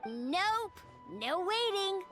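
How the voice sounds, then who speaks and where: A woman's voice: very high-pitched, very animated, fairly bright tone, full character voice. A woman voicing a cheeky, bossy and playful little girl with a childlike voice in an anime game. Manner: exclaiming, drawn-out words. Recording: music behind the voice.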